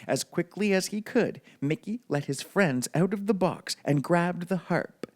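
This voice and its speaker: Male storybook reader, bedtime narration, unhurried pace. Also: high voice, expressive.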